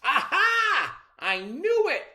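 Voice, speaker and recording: A man's voice: extremely high pitch, huge pitch swings, slow, pushed and bright, roomy sound, voice pushed up, full character voice. A man voicing a bossy, suspicious farmer father with an emphatic, scolding manner in a children's storybook. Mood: suspicious, indignant. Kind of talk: children's storybook read-aloud.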